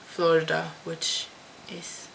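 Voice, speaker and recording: A teenage girl from the Netherlands answers tag questions at her home computer, slow and halting, with many restarts. Her voice has a gravelly, creaky edge. A faint computer-fan hum sits behind her webcam audio. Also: low-pitched, completely flat delivery, a bit bright, low-quality recording. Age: teens. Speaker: vlogger. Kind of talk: casual talk to camera answering a tag.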